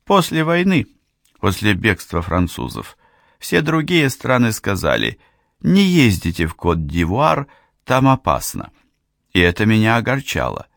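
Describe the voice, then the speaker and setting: A man's voice: lowish voice, wildly swooping pitch, unhurried, vocal fry, fairly bright tone, no room sound, studio quality, voice pushed up, heavily acted. A man voicing a chatty middle-aged woman hairdresser. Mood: hopeful. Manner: chatty, earnest, confiding. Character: friendly middle-aged female hairdresser from Ghana. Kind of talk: audiobook character voice.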